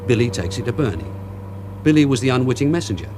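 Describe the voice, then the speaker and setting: An actor playing a shrewd, unsentimental police detective sergeant in a radio drama, speaking in a clipped, firm, matter-of-fact way. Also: male voice, mid-range voice, big pitch swings, quick pace, background noise, close to an everyday voice. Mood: shrewd, explaining.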